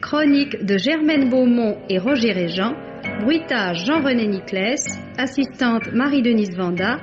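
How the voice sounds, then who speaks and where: Higher-pitched female announcer, muffled old radio sound. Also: lively intonation, measured pace. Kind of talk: scripted programme introduction and credits. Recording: dull vintage radio recording. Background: music.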